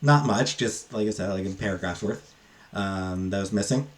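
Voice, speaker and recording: A man talking casually and unscripted as a book reviewer, in a halting, hesitant way with trailing asides. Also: fairly low pitch.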